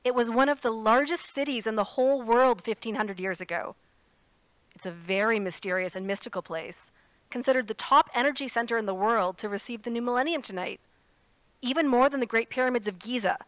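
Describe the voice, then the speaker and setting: Lady acting as playful earnest woman reporter calling in on a radio drama, dry highish voice. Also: fairly quick, no echo, natural voice, low-quality recording. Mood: awed, descriptive. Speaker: radio correspondent. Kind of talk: audio drama, phone-in report.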